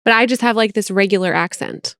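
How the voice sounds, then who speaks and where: A woman's voice: medium pitch, a little fast, dry recording, studio quality. Chatty middle-aged American woman. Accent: General American. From United States. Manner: chatty, expressive, self-deprecating. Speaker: podcast co-host. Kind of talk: casual chat.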